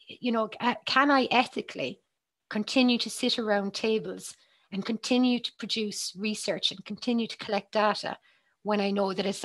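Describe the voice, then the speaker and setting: A woman's voice: mid-range voice, fairly bright tone. A woman, a psychologist speaking earnestly on a panel over a video call, with many ums and restarts. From Ireland. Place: small room with bookshelves, indoors. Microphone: headset on a video call.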